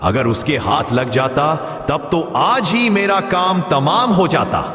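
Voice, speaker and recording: A man's voice: fairly high pitch, animated, takes it slowly, a bit bright, faint music behind. A man voicing a wary, self-satisfied male tiger in an animal cartoon, talking animatedly to himself. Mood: relieved, wary. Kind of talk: animated moral story monologue. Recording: echoey, long reverb.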